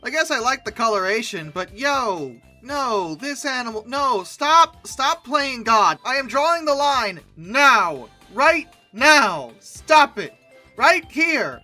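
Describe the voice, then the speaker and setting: A woman's voice: on the high side, slow, soft background music. A young woman hosting a comedic commentary, sarcastic and exasperated. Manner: sarcastic, exasperated, lively outbursts. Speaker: YouTube host.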